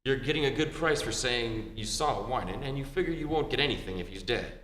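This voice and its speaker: Man playing a wisecracking radio detective, lively and echoey. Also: medium pitch, lightly acted. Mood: pressing. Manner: deliberate explaining, dry wisecracks. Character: shrewd, wisecracking male detective unmasking a killer. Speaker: amateur stage actor. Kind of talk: old-time radio detective drama. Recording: echoey hall with faint hum.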